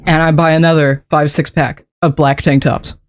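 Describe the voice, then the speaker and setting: A chatty streamer who talks casually into a desk mic, rambling from one small thing to the next and laughing easily. Being a bit sick has made the voice sit deeper than usual, though the pitch stays in a middle range. The speaker keeps greeting and thanking people in chat between thoughts. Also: level tone, moderate pace. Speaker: game streamer.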